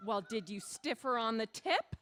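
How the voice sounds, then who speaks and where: A woman's voice: on the high side, lively intonation, moderate pace, a bit bright. A woman playing a demanding wife who coaxes and teases her husband in a comic radio sketch. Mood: smugly teasing. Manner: wheedling, teasing.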